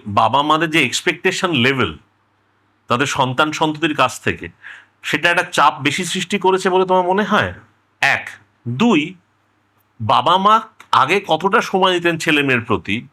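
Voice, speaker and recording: A man's voice: fairly high pitch, bright, forceful tone. A man interviewing conversationally, interjecting and persistently rephrasing his questions.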